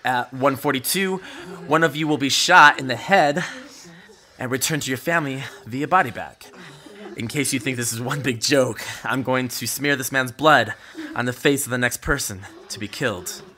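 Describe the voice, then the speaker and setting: A man playing a cruel, mock-polite gunman in an audio drama, speaking with theatrical, deliberate menace. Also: mid-range voice, pushed and bright, natural voice. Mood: gloating menace. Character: cruel, taunting male gunman leading a hostage robbery.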